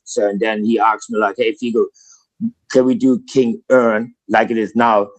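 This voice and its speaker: A man with a fairly low voice talks loosely and at length, piling on "so" and "uh" as he goes. It is relaxed guest chat on a call. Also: normal speed, low-quality recording. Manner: rambling, filler-heavy, frequent "so" restarts. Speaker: band founder and guitarist guest. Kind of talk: casual interview chat.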